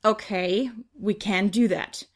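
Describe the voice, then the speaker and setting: A woman's voice: mid-range voice, fairly even intonation, takes it slowly, lightly acted. A woman voicing a bossy, suspicious teenage boy leader in a storybook, in a demanding manner. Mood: brisk, decisive. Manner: demanding, accusing, scowling.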